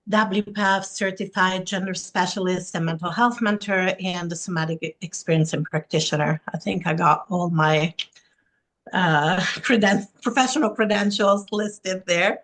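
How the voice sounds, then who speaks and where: A non-binary therapist from Italy, with Italian as a first language, being interviewed as a guest expert. They speak warmly and earnestly over a video call, often listing things in long runs. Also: mid-range voice. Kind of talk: interview, self-introduction.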